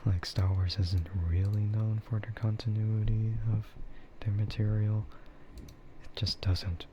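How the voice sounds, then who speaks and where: A man chatting casually in a soft, half-whispered ASMR style, rambling and hesitant as he streams close to the microphone. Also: lowish voice, level tone, unhurried, muffled recording, noisy background. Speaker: VTuber streamer.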